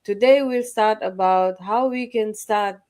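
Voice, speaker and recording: A woman hosts a motivational online talk in an earnest way. She repeats phrases for emphasis and lists points one by one. Her pitch sits in a middle range for a woman. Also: unhurried, darkish tone. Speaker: host.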